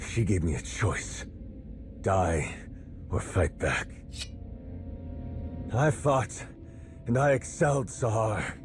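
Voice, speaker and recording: A bitter, proud warrior for a game cutscene. He speaks slowly but with big swings in pitch, defiant and intense, over prominent music. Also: sing-song. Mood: angry, proud. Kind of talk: dramatic video game acting.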